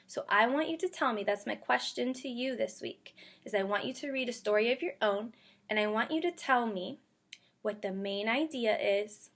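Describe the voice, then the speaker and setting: A woman's voice: fairly high pitch, fairly bright tone. A woman speaking as an elementary school teacher to young children, guiding them through a read-aloud phonics lesson with questions, repetition and carefully sounded-out words. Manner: stretches out and sounds out words slowly.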